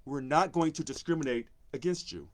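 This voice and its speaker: A man with a deep voice, a union presidency candidate, speaking on a live stream and repeating key phrases for emphasis. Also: brisk. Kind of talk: campaign speech on a live stream.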